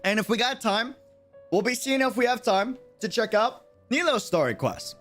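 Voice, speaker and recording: A man's voice: extremely high pitch, lively intonation, normal speed, a bit bright, soft background music. A man talking casually and jokily in slang as he livestreams a video game. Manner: slangy, informal, jokey. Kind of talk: casual livestream chat and reactions.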